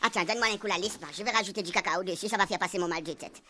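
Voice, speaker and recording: A woman's voice: mid-range voice, racing, pushed and bright, slight background noise, close to an everyday voice. An actress in a comic radio serial playing an exasperated, bossy woman, nagging impatiently. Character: Marie-Hélène, exasperated bossy woman scolding a silly colleague.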